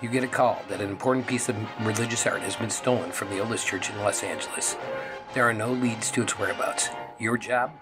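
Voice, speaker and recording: A man's voice: lowish voice, steady tone, a little fast, close to an everyday voice. A man playing a grave, commanding announcer in an old-time radio crime drama, speaking in terse, portentous phrases. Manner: terse, portentous second-person delivery. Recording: loud brass music behind the voice. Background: dramatic brass music.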